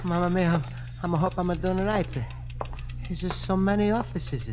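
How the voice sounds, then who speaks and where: A man's voice: sits high, steady tone, moderate pace, natural voice. An actor playing a naive, good-hearted Italian immigrant man with earnest broken English and a thick Italian accent, in a radio comedy. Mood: nervous, earnest. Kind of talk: 1950s radio situation comedy acting. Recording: dull, noisy old radio broadcast.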